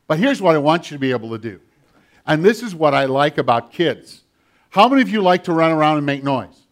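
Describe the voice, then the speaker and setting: An older man preaching a sermon with a children's message, animated and storytelling with a big voice. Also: fairly high pitch, a little fast.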